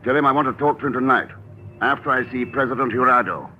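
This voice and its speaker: An actor playing a tough, wisecracking spy in an old radio drama, talking in a dry, brisk way. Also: male voice, mid-range voice, natural voice. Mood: wry. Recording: muffled old radio broadcast with hiss.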